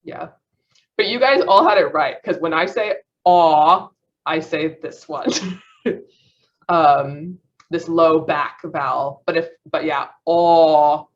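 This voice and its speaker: A woman's voice: low voice, takes it slowly, muffled recording. A woman student presenting a phonetics lesson over a video call, hesitant, often restarting and laughing at herself. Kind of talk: class presentation.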